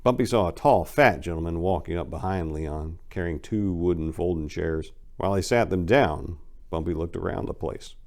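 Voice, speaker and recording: A man's voice: low voice, moderate pace, vocal fry. A man hosting and narrating scripted dramatic readings in a theatrical, emphatic way. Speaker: channel host and story narrator.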